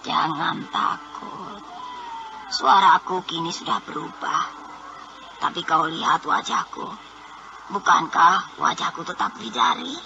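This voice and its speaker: Creaky, menacing old witch. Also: sits low, animated, bright, forceful tone, exaggerated voice. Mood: coaxing, eerie. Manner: slow, theatrical, coaxing and menacing. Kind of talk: Indonesian radio horror serial acting. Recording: dull, noisy old radio drama recording.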